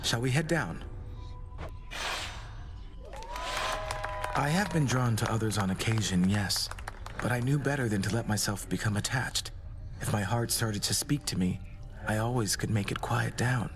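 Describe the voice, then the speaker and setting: An actor playing a courtly, melancholy male god of doom in a video game, speaking formally and with gentle devotion. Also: lowish voice, moderate pace, natural voice. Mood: courteous, reserved. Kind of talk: scripted video game romance dialogue.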